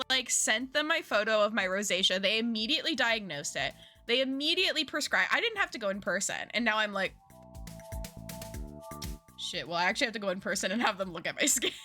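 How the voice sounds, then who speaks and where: Young woman streamer, bubbly and self-mocking, lively swooping delivery, a bit phlegmy from a cold. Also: on the high side. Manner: chatty, giggly, self-deprecating. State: slightly phlegmy, lingering cough. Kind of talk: casual stream chat.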